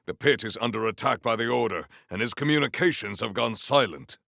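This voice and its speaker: An actor playing a proud, battle-hungry warrior in a video game, with formal, stilted and grandiose diction. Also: male voice, mid-range voice, lively intonation, a little fast, vocal fry, sharp tone, no room sound, studio quality. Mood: resolute. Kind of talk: scripted video game character lines.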